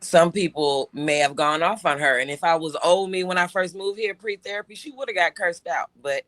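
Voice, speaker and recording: A man's voice: sits high, steady tone, fairly quick, a bit bright, no echo. A man co-hosting a casual talk show, telling a personal story with lots of restarts and fillers. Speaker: show co-host. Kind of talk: casual podcast chat.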